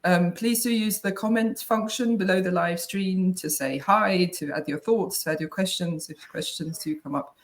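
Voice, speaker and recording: A woman's voice: lowish voice, normal speed, hardly any pauses. Millennial woman co-hosting a webinar. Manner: casual, uses tag "right?" often. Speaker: head of client accounts, co-host. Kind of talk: webinar conversation. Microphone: video call.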